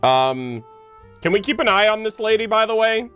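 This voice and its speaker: A man's voice: high-pitched, lively intonation, moderate pace, pushed and bright, clear, resonant tone. A man streaming a game with casual, slangy, joking reactions. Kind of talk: casual live game commentary. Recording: game music behind the voice.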